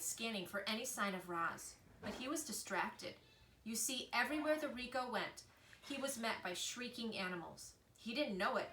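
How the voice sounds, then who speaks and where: A woman reading a children's book aloud in an expressive storytelling style. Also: mid-range voice. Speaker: reader, storyteller. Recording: echoey room with faint noise.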